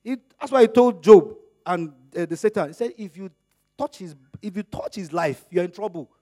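Animated high-voiced preacher, Yoruba speaker. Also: male voice, normal speed, faint music behind. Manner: animated, acts out dialogues with rising pitch and volume. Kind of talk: sermon.

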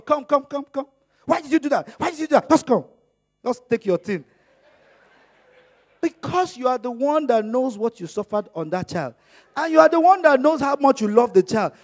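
A middle-aged man preaching with a high, bright voice. His first language is Yoruba. He acts out the exchanges in his stories, letting his voice climb and grow louder as he does. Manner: animated, acts out dialogues with rising pitch and volume. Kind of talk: sermon.

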